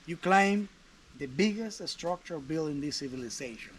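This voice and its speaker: A man's voice: on the high side, animated, moderate pace, a crowd in the background. A man guiding a tour outdoors at a jungle archaeological site, explaining chattily with restarts. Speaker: tour guide. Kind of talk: guided tour talk. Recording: faint outdoor noise.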